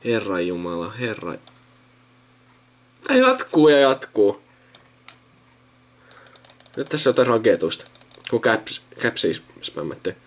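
Late-teens Finnish male, husky, animated pitch swings, casual muttered running commentary while at a computer. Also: pauses often. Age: late teens, young adult. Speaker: video maker narrating an account sign-up. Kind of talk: casual chat, reading the screen aloud. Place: indoors at a computer. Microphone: handheld camera filming a monitor.

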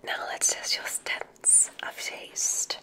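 Slow whispering witch examiner played by a woman. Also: lightly acted, poor audio. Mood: soothing, encouraging. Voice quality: breathy whisper. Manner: slow, soothing, gently enthusiastic, deliberate pauses. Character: encouraging female witch examiner at a magic school. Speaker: ASMR roleplay performer. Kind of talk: whispered ASMR medical exam roleplay.

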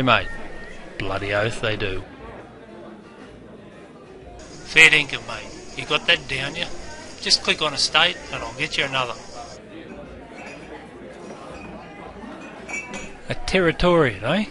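A man's voice: mid-range voice, unhurried, pauses often, bright, forceful tone. A man playing a cheeky, matey barman in an interactive game, with a broad Australian accent and heavy slang. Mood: approving. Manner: jokey, teasing, heavy slang. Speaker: bartender guide. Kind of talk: interactive game character patter. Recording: busy crowd noise behind. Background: crowd chatter.

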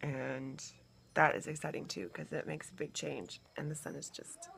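A young woman talks through her home build in a loose, rambling way, pausing on fillers and small laughs. Her voice sits a little low for a woman. She holds the phone at arm's length, and faint background noise runs under her. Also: vocal fry, a bit bright. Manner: chatty, thinking aloud with fillers and small laughs. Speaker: family vlogger. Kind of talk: casual home-building vlog. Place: house under construction and a back porch. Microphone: phone held at arm's length.

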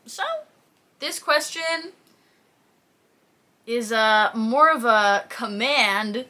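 Bright-voiced young woman, chatty. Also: fairly high pitch, animated, extremely slow. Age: 20s. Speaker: fitness content creator. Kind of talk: casual chat, answering viewer questions to camera. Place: small plain indoor room, seated.

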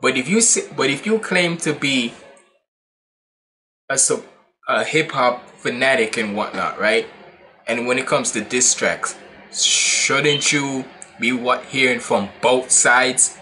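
A man's voice: medium pitch, takes it slowly, bright, forceful tone, low-quality recording. A man in his twenties from Jamaica with a Jamaican accent, giving casual, rambling, slangy commentary as a reaction video host in a room at home. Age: 20s. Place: small indoor room at home.

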